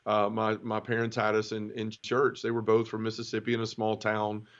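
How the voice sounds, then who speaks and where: A man from Memphis with a Southern accent, telling his life story as an interview guest in a relaxed way, with easy laughs. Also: lowish voice, normal speed, clean tone, lo-fi sound. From Memphis, Tennessee. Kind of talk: personal testimony in conversation.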